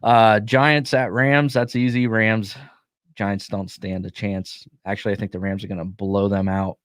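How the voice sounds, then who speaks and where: Male podcast host, lowish pitch, close dry sound, worn voice, opinionated and jokey. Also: flat delivery, measured pace, no echo. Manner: opinionated, jokey football picks. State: losing his voice. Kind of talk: casual sports podcast chat.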